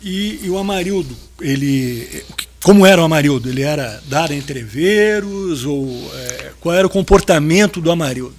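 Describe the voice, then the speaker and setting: A man questioning a witness at a jury trial in a formal, methodical way, with short quiet acknowledgments between questions. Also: a little high, lively intonation, moderate pace, slight background noise. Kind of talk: courtroom questioning.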